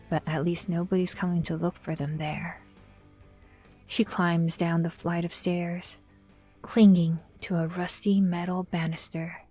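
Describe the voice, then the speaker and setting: A woman narrates an audio drama in a low, level voice. She takes her time, telling the scene in measured literary phrases, over soft music.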